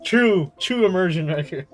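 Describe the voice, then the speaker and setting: A man's voice: high voice, expressive, measured pace. A young man livestreaming a game from his room, chatting casually with slangy, playful reactions. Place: in a room at home. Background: faint game music.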